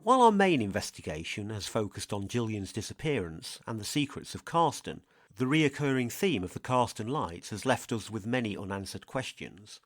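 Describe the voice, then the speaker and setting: A man's voice: fairly low pitch, sing-song, normal speed, no room sound, studio quality, faint music behind. A man hosting a scripted podcast, narrating and putting interview questions to a guest.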